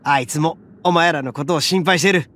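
A man voicing a boastful male oni in a game, rough, swaggering and big-hearted. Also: on the high side, fairly quick, fairly bright tone, slight background noise, close to an everyday voice. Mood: reassuring. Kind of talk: game voice acting. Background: game music.

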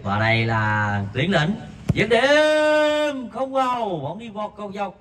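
A man's voice: sing-song, takes it slowly, far from the mic, background noise. A man commentating a local night football match, reading viewer messages and rising sharply on shots. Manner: reads viewer messages between plays, jumps sharply higher on shots. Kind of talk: live amateur football commentary. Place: outdoor floodlit five-a-side pitch at night.

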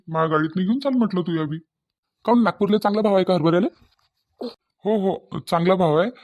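High-voiced village farmer, acted by a man. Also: darkish tone, natural voice. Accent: Varhadi (Vidarbha) Marathi. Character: friendly village farmer, practical family man. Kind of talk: cartoon village dialogue.

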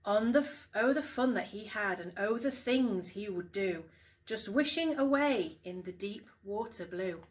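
A middle-aged woman reads a picture book to young children, expressively and deliberately, stressing key words, in a room at home. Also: medium pitch, animated. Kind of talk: storybook read-aloud for young children. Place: small living room at home.

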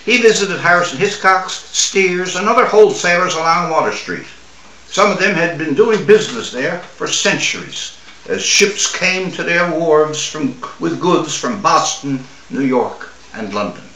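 An older man from Newfoundland reads a short story aloud in a measured, expressive way, with dramatic pauses. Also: a little high. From Newfoundland, Canada. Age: older, 60s or 70s. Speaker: author reading own short story. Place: small room at home or office, seated at a table. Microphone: camera a few feet away. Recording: roomy, distant microphone.

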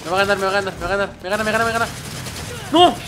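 A man's voice: sits high, fairly even intonation, rapid-fire, background noise. A young man giving frantic gameplay commentary, repeating words in panic. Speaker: gaming YouTuber.

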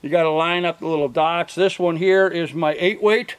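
A man's voice: fairly high pitch, steady tone, normal speed, clear, resonant tone. An older man casually showing his fishing gear outdoors, rambling with lots of ums and uhs and correcting himself, picked up by a camera a few feet away. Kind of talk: casual show-and-tell. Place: outdoors by a truck in a field. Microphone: camera mic from a few feet.

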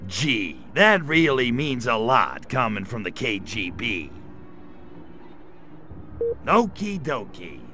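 A man voicing a sarcastic gray alien invader in a video game cutscene, delivering deadpan quips. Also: medium pitch, slow pace, pauses often, faint music behind, heavily acted. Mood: sardonic.

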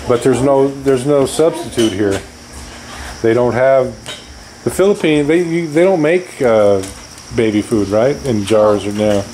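A man's voice: medium pitch, animated, a little slow. An older man vlogging casually with his family, thinking aloud with restarts, in a bare concrete room on a camera mic. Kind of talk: casual chat. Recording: echoey room with loud background noise.

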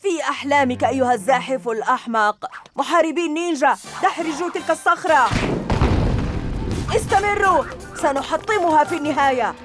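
Casting: a bossy, taunting kid ninja villain, high voice. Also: female voice, expressive, a little fast, over loud music. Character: boastful bratty girl ninja villain.

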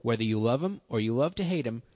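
A man reading a promo for his podcast in a bright, salesy pitch. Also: expressive, fast talker, no echo. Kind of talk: scripted promo.

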